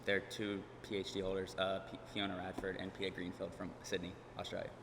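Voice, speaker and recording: A man's voice: deep voice, monotone, brisk, vocal fry. A man and podcast host speaking casually on a conference panel, chatty and self-deprecating. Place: large conference hall. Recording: hall reverb, faint room noise.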